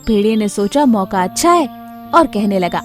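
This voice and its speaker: A woman storyteller reading scripted children's moral tales in an expressive way. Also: mid-range voice, moderate pace, soft background music.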